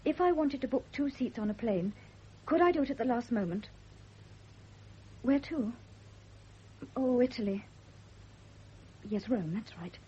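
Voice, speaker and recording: An actress playing an anxious, devoted young wife in a vintage radio drama. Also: female voice, fairly high pitch, expressive, unhurried, pauses often, slightly rough, exaggerated voice. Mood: polite, composed. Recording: dull, hissy vintage radio recording.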